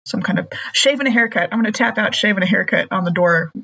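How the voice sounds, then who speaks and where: A woman playing a tabletop game, chatty and quick to laugh. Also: medium pitch, gravelly, bright, forceful tone, highs cut off. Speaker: player. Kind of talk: casual play chat.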